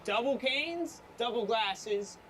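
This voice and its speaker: An energetic, upbeat man chatting casually and candidly as a street photographer out at work. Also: very high voice, slow. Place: outdoors on a city street. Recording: clear urban street noise, traffic. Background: city ambience and vehicles.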